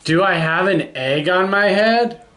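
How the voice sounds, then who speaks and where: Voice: mid-range voice, a little slow, fairly bright tone, lightly acted. A storyteller voicing an anxious, exasperated male elephant from a picture book, with dramatic, fretful protests. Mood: worried.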